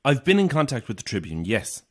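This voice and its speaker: A man's voice: mid-range voice, big pitch swings, brisk. An actor playing a lonely, weary Irish man in his thirties in a naturalistic audio horror drama, polite, hesitant and dry in manner. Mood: eager, polite. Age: 30s.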